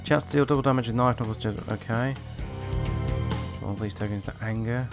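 A man plays a video game and comments casually as he goes. He mutters to himself and swears, at a medium pitch, with guitar music clearly behind him. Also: brisk. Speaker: video game reviewer playing.

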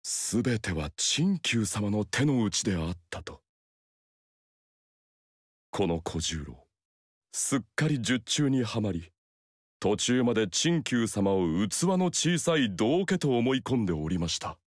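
Brief: polite samurai retainer, formal speech, lowish voice, dry studio read. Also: male voice, sing-song, slow pace, dry recording, heavily acted. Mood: courteous. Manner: formal, courteous, quietly ironic. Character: polite, loyal young male retainer. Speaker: game character voice. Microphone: dry studio mic.

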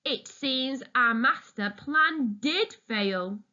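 A woman reading a children's picture book aloud expressively and deliberately, as if addressing young listeners. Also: high-pitched, animated, bright, forceful tone, dull audio. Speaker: storytime reader, teacher.

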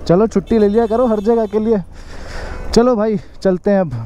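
A man's voice: high-pitched, steady tone, moderate pace, mellow. A young man hosting a casual travel vlog outdoors, chatting to viewers as guys. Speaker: motovlogger host. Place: outdoors on a hill road by a car. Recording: music over outdoor vlog audio.

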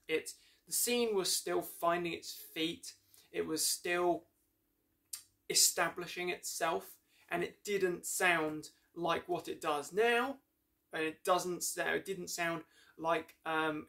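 A man's voice: high-pitched, creaky, fairly bright tone. A young man giving a casual, enthusiastic review to camera, rambling a little and correcting himself as he goes. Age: young adult. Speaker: music reviewer, host. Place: small room lined with shelves, indoors.

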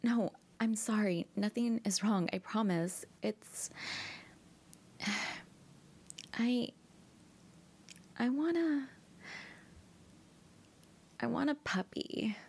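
A woman's voice: a little high, extremely slow, vocal fry, long pauses, a little mellow, breathy edge, heavily acted. A young woman playing a bratty, pouting girlfriend in an ASMR audio roleplay, pleading and often dropping to whispers. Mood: nervous, hesitant. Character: bratty pouting girlfriend begging her partner.

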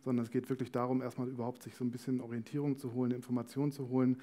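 A man's voice: mid-range voice, flat delivery, very fast, dark tone. A man in his thirties explaining things informally as an interview guest, hesitant and thinking aloud, on a headset mic on an indoor stage. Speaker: company founder as interview guest. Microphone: headset microphone.

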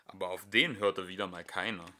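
A young man reading a children's storybook aloud as an amateur narrator, in an expressive storytelling manner. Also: low voice, brisk. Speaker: amateur audiobook reader.

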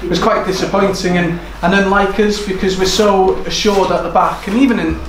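A man's voice: high-pitched, fairly even intonation, moderate pace. A man in his thirties, a football manager giving an earnest post-match interview in a small room. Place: small room indoors overlooking a football pitch. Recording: echoey room with background noise.

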